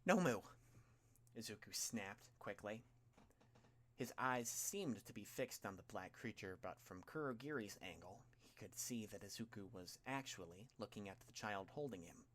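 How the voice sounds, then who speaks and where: Male narrator, lowish pitch, dramatic scripted storytelling, audiobook reading. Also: normal speed, fairly bright tone, slight background noise. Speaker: audiobook narrator of fan fiction.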